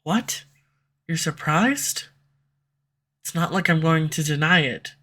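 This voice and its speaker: A woman's voice: deep voice, animated, slow pace, fairly bright tone, heavily acted. A woman voicing a flustered tsundere female villain in love, in an audio roleplay for a listener, defensive at first and then tender. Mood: confessing. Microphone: USB condenser microphone at home.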